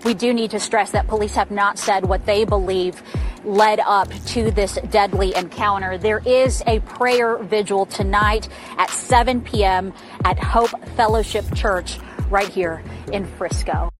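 A woman's voice: medium pitch, steady tone, unhurried, music in the background. A woman delivering a scripted news report as a reporter.

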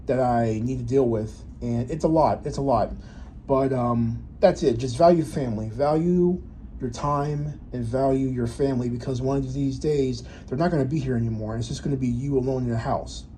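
Vlogger in his thirties, warm low-key voice, reflective and stop-start, faint room hum behind. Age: 30s. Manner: halting, reflective, many restarts and fillers. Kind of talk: personal reflective vlog.

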